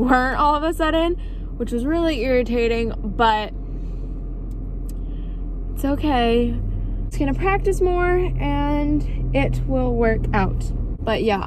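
A young woman, vlogging casually to a phone camera from her car, sounding tired and congested. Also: on the high side. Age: young adult. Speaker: vlogger, cosmetology student. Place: parked car by a road. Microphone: phone camera on the dashboard. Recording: phone audio with clear traffic noise. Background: traffic noise outside.

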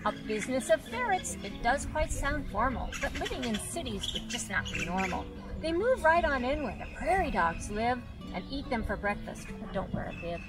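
An older woman reads a rhyming children's book aloud outdoors, her fairly high voice swooping up and down in a bouncy, sing-song way. She sounds like a friendly guide at storytime, picked up by a camera a few steps away. Loud music plays underneath her. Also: sing-song, moderate pace, low-quality recording. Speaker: zoo guide and author reading aloud. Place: outdoors by a pond in a zoo.

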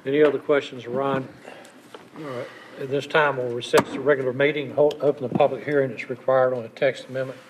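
A man serving as mayor, running a city council meeting with brisk, procedural phrasing. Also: mid-range voice, fairly even intonation, background noise. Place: council chamber.

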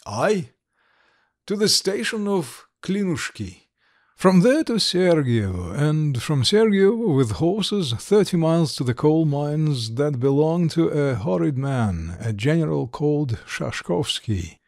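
A man reading aloud, voicing a kindly, unhappy gentleman who speaks mildly and unconcernedly. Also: on the high side, very animated, takes it slowly, full character voice. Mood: calm. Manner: mild, unconcerned. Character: unhappy but kindly middle-aged gentleman.

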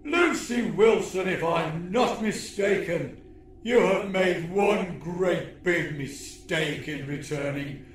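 A man's voice: sits high, takes it slowly, echoey room, distant mic, a little hiss or hum, pitched above the performer's own voice. A man voicing a pompous, menacing alien commander with an imperious, snarling delivery in a children's storybook reading. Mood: smug menace.

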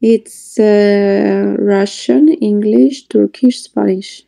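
Eine Frau in ihren Zwanzigern, die gesprächig über einen Laptop-Videoanruf erzählt und über ihre eigenen Verwechslungen lacht. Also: female voice, medium pitch, fairly even intonation, drawn-out words, creaky, mellow. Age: 20s. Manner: chatty, laughs at her own mix-ups. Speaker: video chat stranger. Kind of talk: casual chat. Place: room indoors.

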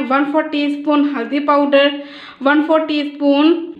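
A woman with a Pakistani Urdu accent talks in a high voice as she explains a recipe step by step, reading off each measure in a calm, instructional way. She is filmed in a home kitchen with a phone over the countertop, so the sound is roomy and echoey with a dull, lo-fi edge. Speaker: cooking channel host.

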